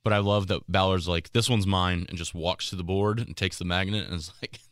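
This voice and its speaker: Low-voiced guy co-hosting a sports pod, smooth and relaxed. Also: brisk, no echo. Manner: relaxed, laughs easily, loose. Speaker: podcast co-host. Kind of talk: casual sports podcast chat.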